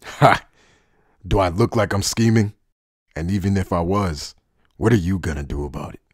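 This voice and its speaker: Deep, husky, taunting outcast played by a man. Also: moderate pace, no echo, clean studio sound. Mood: defiant. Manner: mocking, sardonic. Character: cynical, taunting male outcast, coolly defiant. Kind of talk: anime fan dub acting.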